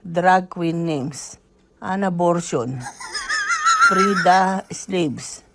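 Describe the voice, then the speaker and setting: Older woman, very slow, wildly swinging pitch, light noise on the track. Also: wildly swooping pitch. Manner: slow, puzzled, laughs at what she reads. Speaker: social media video creator. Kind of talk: reading a list aloud.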